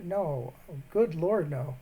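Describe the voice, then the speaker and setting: A rambling man with a notably high voice keeps a phone scammer busy. He wanders off mid-sentence and grows indignant at instructions he finds senseless. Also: expressive, slow, mellow, slightly rough, low-quality recording. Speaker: scambaiter posing as an elderly bank customer. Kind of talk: prank phone call.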